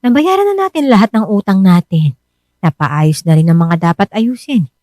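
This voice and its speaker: A woman's voice: mid-range voice, sing-song, moderate pace, warm tone, no echo, clean studio sound, natural voice. A woman acting an insistent, worried Filipino wife. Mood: caring, nagging. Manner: insistent, scolding. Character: worried, nagging, suspicious wife. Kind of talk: Tagalog radio drama acting.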